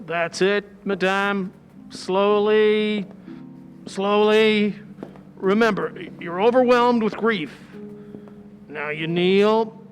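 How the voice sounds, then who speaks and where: An actor playing a commanding film director in an old-time radio drama, calling out drawn-out directions to his star. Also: high-pitched. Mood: coaxing. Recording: reverberant hall, faint music behind.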